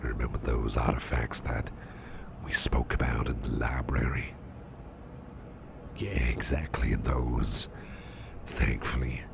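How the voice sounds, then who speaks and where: A man playing a caring, reassuring businessman boss in an ASMR roleplay, murmuring softly and close in a gravelly, half-whispered voice. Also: rumbling low, noisy background, exaggerated voice. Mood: soothing, pleased. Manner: slow, hesitant, reassuring, repeats phrases.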